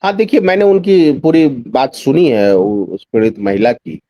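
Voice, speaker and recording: A man's voice: mid-range voice, moderate pace, dark tone, no room sound. A man speaking as a journalist guest in a news discussion, giving emphatic political commentary with repeated phrases and rhetorical questions.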